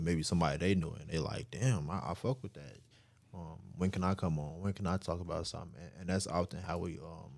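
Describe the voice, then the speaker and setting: A man's voice: sits low, creaky, a little mellow, dry recording. A man in his twenties, co-hosting a podcast in a laid-back, slangy way with plenty of fillers and swearing. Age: 20s. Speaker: podcast co-host. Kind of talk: casual podcast chat.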